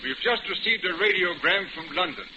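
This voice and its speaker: An actor playing a formal, reassuring ship's first officer in a 1940s radio drama, speaking in a placating manner. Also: male voice, sits high, lively intonation, brisk. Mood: earnest, placating. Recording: muffled, noisy old radio broadcast.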